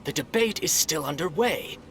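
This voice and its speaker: A man's voice: on the high side, animated, normal speed, a bit bright. A man playing a stern, impatient debater in a video game.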